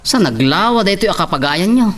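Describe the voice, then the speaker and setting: Casting: actor as concerned young man of a farm family, highish pitch, slightly distant, radio-style drama. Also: expressive, a little fast, fairly bright tone. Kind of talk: Ilocano audio drama acting.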